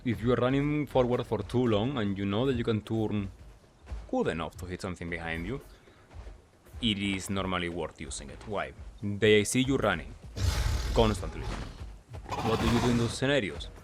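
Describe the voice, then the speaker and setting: A man's voice: fairly low pitch, normal speed, a little hiss or hum. A man doing gameplay commentary as a video creator, explaining in short clipped phrases and often asking himself questions before answering them.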